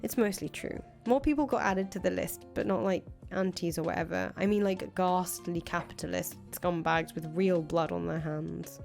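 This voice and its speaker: Woman acting a brash, low-pitched revolutionary leader. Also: normal speed, gravelly, hardly any pauses, darkish tone. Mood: defensive. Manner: blunt, casual, sweary. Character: brash, foul-mouthed revolutionary leader, volatile but attached to her friend. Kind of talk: visual novel dialogue reading. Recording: music behind the voice. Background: music.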